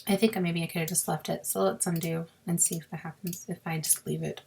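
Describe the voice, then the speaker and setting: A woman with a sore, scratchy throat, explaining a crafting software task step by step in a chatty way and muttering to herself as she clicks. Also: fairly low pitch, little pitch movement, brisk. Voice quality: raspy with creaky fry. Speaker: crafting tutorial host. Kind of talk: screen-recorded software walkthrough.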